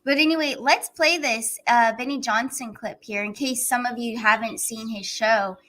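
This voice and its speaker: A woman hosts a casual livestream with a bright, forceful tone and a voice a little higher than most women's. She talks in an excitable, chatty flow and laughs often. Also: moderate pace. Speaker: livestream host.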